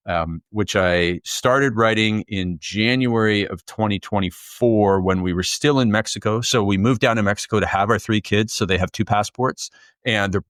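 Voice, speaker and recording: A man's voice: on the low side, a bit bright, no echo, clean studio sound. A man from Canada, a guest author, answering interview questions in a fluent, upbeat, storytelling way. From Canada, near Toronto.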